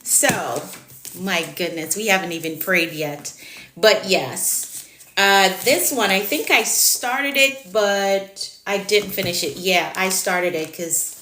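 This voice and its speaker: Bright-voiced Jamaican woman in her thirties. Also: mid-range voice, takes it slowly, echoey room, slight background noise. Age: 30s. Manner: chatty, direct, teacherly. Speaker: productivity coach. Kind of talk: casual live-stream talk.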